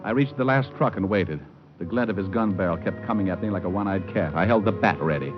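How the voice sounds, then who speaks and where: An actor playing a cool, wisecracking private detective, narrating with dry wit in hardboiled old-time radio style. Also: male voice, low voice, fast, natural voice. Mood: wry, cool. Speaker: lead and narrator. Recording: dull old radio recording. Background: music.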